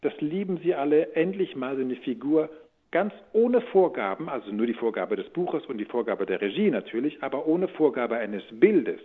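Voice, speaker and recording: A man's voice: medium pitch, very animated, moderate pace, breathy edge. A man giving casual interview answers in a searching way, often restarting and rephrasing. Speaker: audio drama producer and voice-over speaker. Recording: thin phone-like line.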